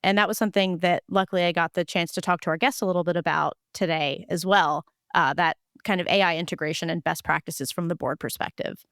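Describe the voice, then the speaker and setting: A woman's voice: lowish voice, fairly even intonation, quick pace, few pauses, fairly bright tone, dry recording. An enthusiastic woman hosts a podcast interview and walks through research findings. Her voice is clean and resonant, recorded dry and close. She sounds upbeat and eager.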